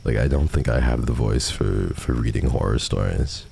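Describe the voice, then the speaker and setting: A man's voice: rumbling low, moderate pace, vocal fry, darkish tone. A man hosting a livestream, chatting casually and answering viewer messages at a close microphone. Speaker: livestream host. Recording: faint background noise.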